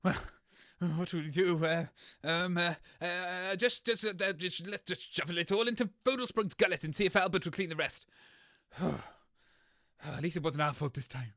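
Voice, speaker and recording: A man playing a flustered, cowardly, bungling radio show host in a comedy audio sketch, stammering and excitable. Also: high-pitched, moderate pace, no room sound, studio quality. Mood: dismayed.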